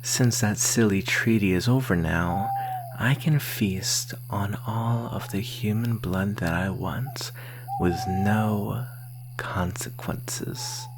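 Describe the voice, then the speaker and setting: A woman acts out a thirsty vampire in an ASMR roleplay, whispering in a low, breathy voice. The delivery is extremely slow, teasing and intimate, with menace under the flirtation. It is recorded close to the mic over a faint hiss. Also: full character voice. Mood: teasing, menacing. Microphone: close microphone. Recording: faint steady hiss and hum.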